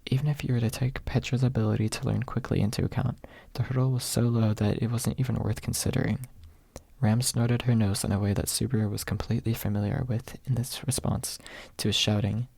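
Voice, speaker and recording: Gravelly, husky man reading softly. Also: medium pitch, little pitch movement, a little fast, no room sound. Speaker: audiobook narrator. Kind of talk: ASMR audiobook reading, soft and close, whispery at times.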